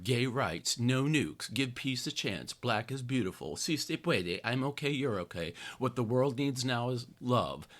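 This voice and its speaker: Man, American accent, slightly low pitch, dramatic essay reading, sardonic, theatrical, heavy stress on key phrases. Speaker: podcast host.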